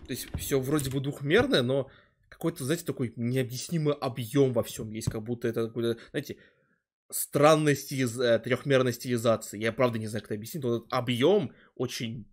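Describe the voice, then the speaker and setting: A man's voice: mid-range voice, fairly quick. A young adult man giving casual reaction commentary on a stream, searching for words and swearing freely. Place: indoor streaming setup.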